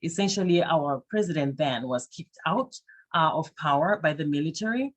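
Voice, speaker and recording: A woman's voice: low voice, lively intonation, moderate pace. A woman, a panelist from a nonprofit, presenting conversationally with frequent fillers. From Zimbabwe. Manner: many fillers and restarts.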